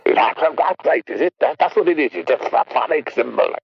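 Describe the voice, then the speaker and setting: A man playing a rambling South Welsh caller with a husky voice who keeps calling people boy and repeats himself. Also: medium pitch, very animated, moderate pace, no room sound, dull audio, heavily acted. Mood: baffled. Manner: rambling, repetitive, calls everyone boy. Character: rambling Welsh male caller haunted by a sausage nightmare.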